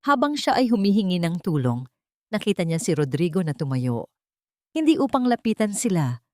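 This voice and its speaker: A woman's voice: mid-range voice, a little mellow, no room sound, clean studio sound. A woman narrating a scripted audio drama in a solemn, emotive storytelling manner.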